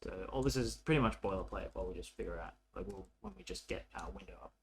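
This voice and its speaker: A man narrating a coding tutorial casually, thinking aloud in an informal, halting way as he works. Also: sits low, lively intonation, slight background noise. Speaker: programming tutorial presenter.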